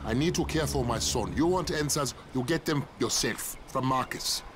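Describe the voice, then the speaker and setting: Male actor as protective, suspicious middle-aged father in a game; medium pitch, grave warnings, music underneath. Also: normal speed, lightly acted. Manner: distrustful, ominous, blunt. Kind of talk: video game cutscene acting.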